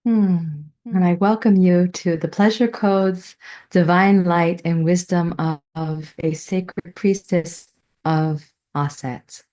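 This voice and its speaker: A middle-aged woman giving a prepared personal presentation, telling her story in a reverent, deliberate way. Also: fairly low pitch, steady tone, dark tone. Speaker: student presenting a thesis.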